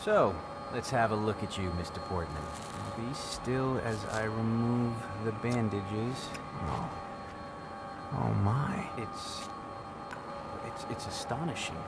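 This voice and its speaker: A polite surgeon in a video game cutscene. He is a mid-pitched man, halting and stammering, easily moved, with loud music behind him. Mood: courteous.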